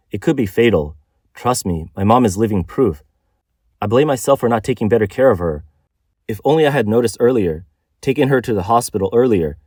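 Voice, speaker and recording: A man narrates a news report in a low-pitched voice. The delivery is scripted, and the sound is close and dry with almost no room on it. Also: darkish tone, dry recording. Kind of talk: scripted news narration, reading translated accounts.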